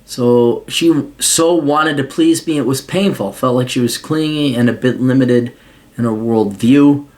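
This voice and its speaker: A man's voice: medium pitch, measured pace, darkish tone. Blunt, swearing American man. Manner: blunt, swears often, mocking voices for asides. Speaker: podcast host answering a listener's letter. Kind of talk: casual profane advice monologue.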